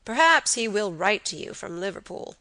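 A woman's voice: mid-range voice, sing-song, moderate pace, bright, forceful tone, no echo. A woman voicing a peevish, self-pitying old grandfather in a storybook, plaintive and apologetic. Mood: grave. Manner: plaintive, murmuring, repeats himself.

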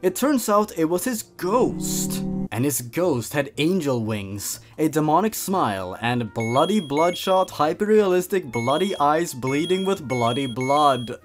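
A man's voice: on the high side, sing-song, unhurried, over music. A man hosting a comedic story reading, theatrical and amused in his reactions. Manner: theatrical, amused, chuckles between lines. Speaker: host of a creepypasta reading channel.

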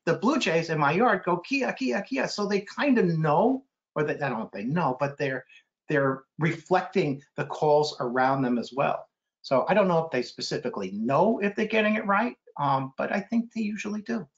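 A middle-aged American man speaks in a somewhat high voice, chatting casually as he answers questions. He is an author appearing as a guest on a video call, so the sound is a little dull and lo-fi. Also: expressive, moderate pace. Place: indoor room on a video call. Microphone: computer video call.

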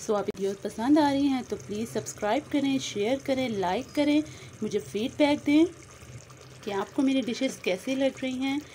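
A woman's voice: high-pitched, normal speed, warm tone. A woman, hosting a cooking channel and explaining a recipe step by step to her viewers in a friendly way. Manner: friendly, addresses viewers directly, mixes in English words. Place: home kitchen. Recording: noisy phone recording over sizzling pan. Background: frying and sizzling.

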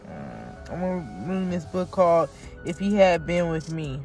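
A woman vlogging from inside her car, reading aloud haltingly and chatting straight to her viewers. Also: lowish voice, wildly swooping pitch, vocal fry, a little mellow, soft background music. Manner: halting read-aloud, stumbles and restarts; chatty, talks directly to viewers. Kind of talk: casual vlog, reading aloud.

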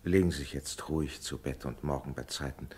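An actor playing a composed, kindly gentleman neighbour in a 1960s radio play, husky and soothing, with educated, measured speech. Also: very deep voice. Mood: concerned, soothing.

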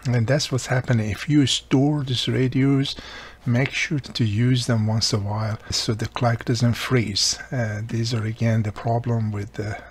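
A man with a husky, gravelly voice, explaining a hands-on repair in a plain-spoken, informal way. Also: mid-range voice. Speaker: host of a radio repair how-to series.

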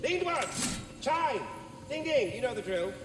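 A man's voice: extremely high pitch, big pitch swings, a little slow, full character voice. A man voicing a rude, impatient ghostly squirrel in a video game, brash and snappy. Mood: impatient, mocking. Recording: distant, echoey reverb with music behind.

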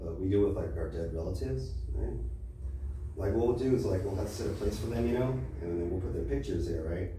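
A man's voice: low-pitched, quick pace. A middle-aged man with an American accent performing stand-up comedy casually on a small club stage, peppering it with "you know.". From Los Angeles, USA. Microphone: handheld mic, heard through distant camera. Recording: echoey, noisy club room from far off.

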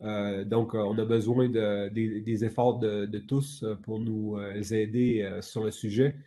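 A middle-aged man introducing himself on a video call panel in a hesitant, halting way. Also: fairly low pitch, level tone, warm tone, a little hiss or hum. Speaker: panelist, senior technical director. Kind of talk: unscripted self-introduction on a panel.

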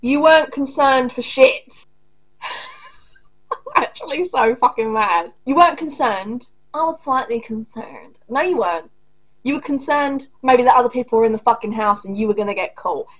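A woman's voice: a little high, expressive, normal speed, low-quality recording. Blunt, profane woman in her thirties. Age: 30s. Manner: angry, profane, blunt and sarcastic. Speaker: reaction video host. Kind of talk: casual reaction commentary. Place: small home room indoors.

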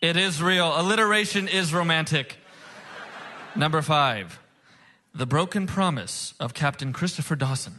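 Man, high voice, emphatic game show host, comic patter, noisy live stage recording. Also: expressive, normal speed, pushed and bright. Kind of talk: comedy game show hosting before a live audience. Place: stage before a live audience.

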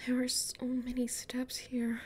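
A woman's voice: fairly high pitch, monotone, unhurried, a little mellow, a little hiss or hum, close to an everyday voice. A woman playing a flustered, stubborn young human heroine in an audio roleplay, muttering breathily to herself.

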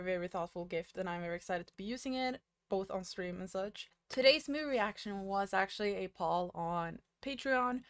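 A young woman hosting a movie reaction video from her bedroom, her voice a little raspy from just waking up, chatting casually and exclaiming at scenes. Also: mid-range voice, dry recording. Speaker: movie reaction channel host. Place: small home bedroom studio. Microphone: studio mic on arm, headphones.

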